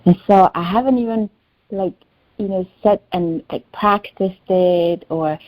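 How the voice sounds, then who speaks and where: A woman's voice: medium pitch, unhurried, no room sound, muffled recording. A woman whose first language is Albanian, sharing her struggles with a healer in a hesitant voice, often searching for words. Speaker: client in a healing session. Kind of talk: personal sharing in a one-on-one session.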